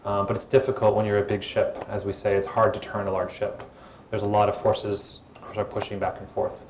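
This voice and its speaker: A man answering audience questions at a startup event in a calm, relaxed, conversational way, on a mic in a hall. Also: fairly low pitch, fairly quick. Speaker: guest speaker, former social media executive. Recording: echoey event hall with faint room noise.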